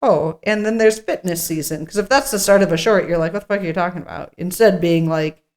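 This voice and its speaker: A woman's voice: on the low side, fast talker, a little mellow. Blunt, salty-tongued woman in casual chat. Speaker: podcast co-host. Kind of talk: casual chat at a podcast script read-through.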